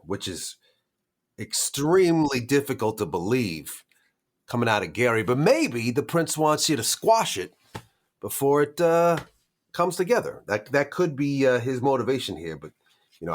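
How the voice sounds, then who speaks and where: A man playing a nervous, hesitant, self-deprecating vampire informant with halting, folksy streetwise talk in a roleplaying game. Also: mid-range voice, sing-song. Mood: hedging. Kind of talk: improvised in-character roleplay.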